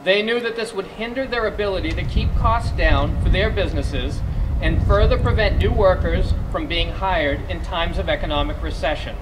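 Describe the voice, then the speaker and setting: Man, Massachusetts accent, high-pitched voice, emphatic formal political speech, advocacy spokesman, noticeable open-air noise behind. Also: normal speed. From Massachusetts, United States. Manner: formal, emphatic public speaking. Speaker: small business advocacy spokesman. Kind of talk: prepared political rally speech.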